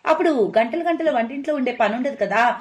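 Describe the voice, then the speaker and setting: Woman playing a high-voiced naive young bride-to-be. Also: animated, quick pace, lightly acted. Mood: curious, wondering. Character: pretty young bride-to-be, naive, curious, polite.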